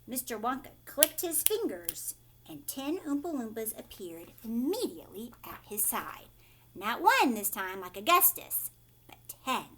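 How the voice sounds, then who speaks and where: A woman's voice: a little high, big pitch swings, unhurried, pushed and bright, a little hiss or hum. A woman reading a children's story aloud like a teacher, with theatrical, emphatic phrasing aimed at young listeners.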